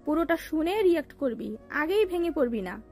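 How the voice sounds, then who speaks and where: A gentle elder-sister figure in a storybook, played by a woman, hoarse from crying yet steady and reassuring. Her voice sits high, with soft music playing beneath. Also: fairly quick. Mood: urgent, calming. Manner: calming, reassuring. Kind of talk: storybook character voice.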